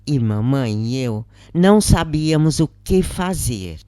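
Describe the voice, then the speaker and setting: A woman with a Brazilian accent narrating a first-person story in a measured, expressive, dramatic audiobook style. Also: a bass voice, dry recording, studio quality. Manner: measured, expressive, acts out quoted lines. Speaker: narrator of a spiritist account.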